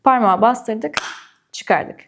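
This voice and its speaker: A woman's voice: on the high side, very slow, background noise. A woman hosts a product demonstration. She speaks straight to the viewer and explains each step in an orderly way. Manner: clear step-by-step explaining, addressing viewers directly. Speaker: product reviewer and presenter. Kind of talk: product review and unboxing demonstration.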